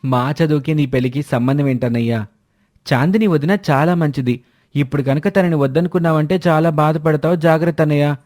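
A man's voice: on the high side, fairly even intonation, fairly quick, darkish tone, voice pushed up, natural voice. A man voicing an eager, respectful younger brother who talks earnestly in a family story. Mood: urging.

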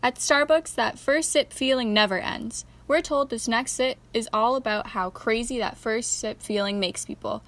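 Woman playing a perky radio host lady, kinda high voice, reading scripted links. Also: moderate pace, hardly any pauses, fairly bright tone, natural voice. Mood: peppy presenting.

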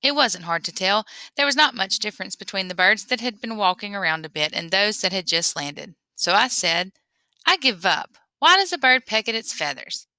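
A woman reading a memoir aloud in an expressive storytelling way. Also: mid-range voice, moderate pace, dry recording. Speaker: reader.

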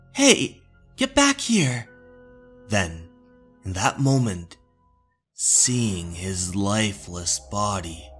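A man narrating a scripted horror story as host, deliberate, with dramatic pauses. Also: on the low side.

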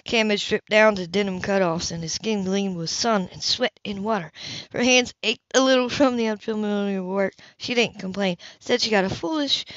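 A woman's voice: medium pitch, dry recording. A woman reading a novel aloud as an amateur narrator, occasionally stumbling over words. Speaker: amateur audiobook reader. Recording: dull, lo-fi home recording.

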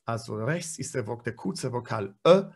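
A man's voice: medium pitch, lively intonation, normal speed, a little mellow, slightly rough. A man in his forties giving an online pronunciation lecture over a webcam, explaining with frequent fillers and self-corrections. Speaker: pronunciation trainer. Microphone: webcam video call.

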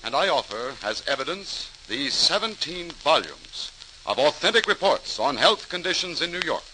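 Crusading reformer doctor, male: high, forceful, formal delivery, 1940s radio drama on a faintly noisy old recording. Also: big pitch swings, normal speed. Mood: resolute. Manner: emphatic, formal, urgent. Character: earnest, determined crusading doctor, a public health reformer.

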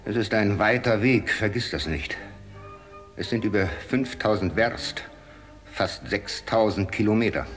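Radio play monarch: commanding, solemn Tsar, low voice, measured imperial delivery. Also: male voice, a bit bright, soft background music. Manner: formal, imperious, measured phrasing. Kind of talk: German radio play acting.

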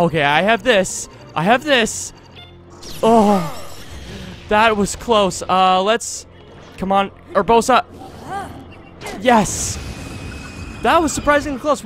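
A man with a high voice hosts a let's play on a close desktop mic. He rambles casually, talking himself through the action, with game music faintly underneath. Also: fairly bright tone. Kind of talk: casual gameplay commentary. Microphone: close desktop USB microphone.

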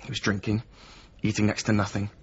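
An actor playing a weary, resentful former apprentice of a painter in a naturalistic radio drama, with a husky voice. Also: male voice, on the low side, monotone, fairly quick, slight background noise, natural voice. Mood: grim, subdued. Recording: slightly muffled radio drama recording.

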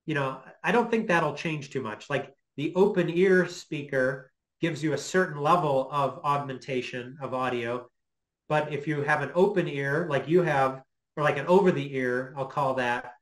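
A middle-aged man giving an informal lecture on a video call, explaining in a hesitant, halting way as he thinks aloud. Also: medium pitch, rough recording. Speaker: guest lecturer. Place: small home office, indoors.

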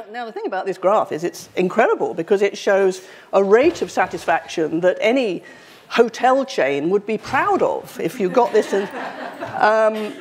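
A woman, giving a university public lecture in a conversational, wry way, her voice slightly croaky. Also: medium pitch, slight background noise. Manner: conversational, wry, with frequent fillers. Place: university lecture hall, indoors.

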